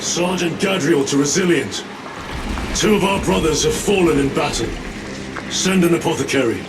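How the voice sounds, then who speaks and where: An actor playing a grim, honorable space-marine sergeant in a video game, formal and solemn. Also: male voice, a little high, normal speed, noisy background, close to an everyday voice. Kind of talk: video game cutscene acting.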